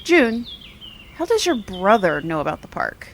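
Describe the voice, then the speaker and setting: Animated, blunt young woman played by an actress. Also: medium pitch, a little slow, gravelly, slight background noise. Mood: challenging. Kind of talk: audio drama acting.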